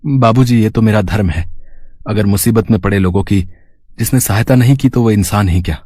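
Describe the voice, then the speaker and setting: A man voicing a poor, kind-hearted street food vendor in a cartoon story, earnest and plaintive, with a husky voice. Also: on the low side, fairly quick, dark tone, no room sound, clean studio sound, natural voice. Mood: humble. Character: poor, worried, kind-hearted male pani puri vendor.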